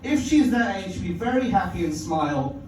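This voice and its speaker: High-voiced man doing stand-up. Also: lively intonation, a little fast, darkish tone, slight background noise. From London. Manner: wry, profane, chuckles at his own lines. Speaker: stand-up comedian. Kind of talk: stand-up comedy set. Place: comedy club stage, indoors. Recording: echoey club audio from far off.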